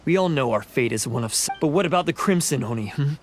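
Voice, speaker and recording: An actor playing a bitter, defiant young blue oni outlaw in a video game, accusing and scornful. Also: male voice, mid-range voice, expressive, fast talker, slight background noise, close to an everyday voice. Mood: bitter, mocking. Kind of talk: dramatic video game voice acting.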